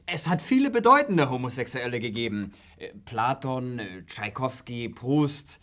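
An actor playing an awkward middle-aged father in a radio play, stiffly paternal and halting as he tries to stay tolerant. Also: mid-range voice, very animated, bright, forceful tone. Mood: stiffly reassuring.

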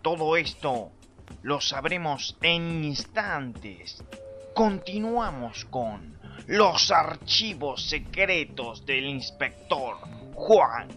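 A man's voice: fairly high pitch, expressive, drawn-out words, bright, forceful tone, soft background music. A man with a Rioplatense accent narrating a radio drama in an overblown announcer style. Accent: Rioplatense Spanish.

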